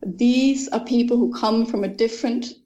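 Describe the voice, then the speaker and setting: A woman's voice: a little high, fairly even intonation, moderate pace, dark tone, lo-fi sound. A young woman, an author answering interview questions thoughtfully and enthusiastically, with frequent ums.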